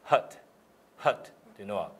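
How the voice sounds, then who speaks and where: A middle-aged man coaching English pronunciation in a casual, hands-on way, demonstrating sounds in a meeting room. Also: a little slow. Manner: demonstrates single sounds and words, drawn out. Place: small meeting room, indoors.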